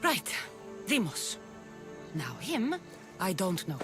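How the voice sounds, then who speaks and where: A woman's voice: mid-range voice, big pitch swings, slow pace. An actress voicing a determined young female mercenary hero, firm and earnest in a video game cutscene. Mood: resolute. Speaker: game protagonist. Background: music.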